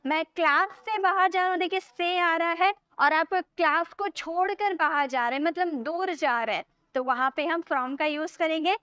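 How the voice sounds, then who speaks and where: A woman teaching English grammar in an online lesson. She explains in a repetitive way, often checks that her students follow, and says English example sentences slowly as if dictating. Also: very high-pitched, bright, forceful tone, dry recording, clean studio sound. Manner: repetitive, checks understanding with frequent questions.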